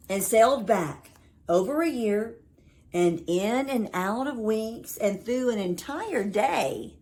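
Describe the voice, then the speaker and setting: Slow, expressive woman reading a children's story. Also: medium pitch. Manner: dramatic, with deliberate pauses. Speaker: storyteller reading a picture book aloud.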